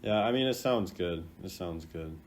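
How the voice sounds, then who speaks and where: A man's voice: deep voice, expressive, normal speed, slight background noise. A man giving casual, off-the-cuff commentary as a video host, rambling and playfully opinionated. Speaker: video host rating game voice lines.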